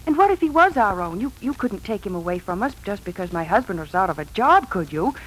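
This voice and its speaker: A woman's voice: medium pitch, sing-song, fast, husky, poor audio. An actress playing a devoted, emotional adoptive mother in the earnest style of 1940s radio drama. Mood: pleading, indignant. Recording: old 1940s radio recording.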